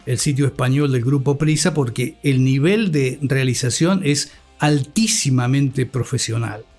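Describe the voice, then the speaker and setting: A middle-aged man with an Argentine accent, giving reflective, measured answers as an academic guest, in formal vocabulary. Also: mid-range voice, darkish tone. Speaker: interview guest, communication academic and scriptwriter. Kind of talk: reflective interview talk. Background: music bed.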